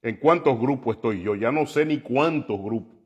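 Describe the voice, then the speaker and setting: A man preaching on the radio, slow and emphatic, climbing to loud, impassioned peaks. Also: mid-range voice, breathy edge, highs cut off. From New York. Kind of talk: preaching commentary.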